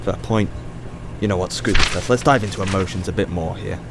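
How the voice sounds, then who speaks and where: An actor playing a probing, inquisitive ethics professor in an audio drama, talking in a conversational, searching way. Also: male voice, deep voice, a little fast, gravelly, heavy background noise. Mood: impatient, defiant. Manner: conversational, probing, hesitant restarts.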